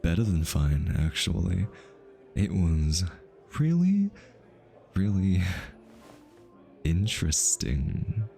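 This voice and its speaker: A man acting a low-voiced, easily flustered smitten hitman. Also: very animated, slow, gravelly, long pauses, mellow, faint music behind, exaggerated voice. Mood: teasing, defensive. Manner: teasing, stammers and backtracks when flustered. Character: easily flustered male hitman, teasing, smitten, posing as socialite. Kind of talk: ASMR roleplay acting.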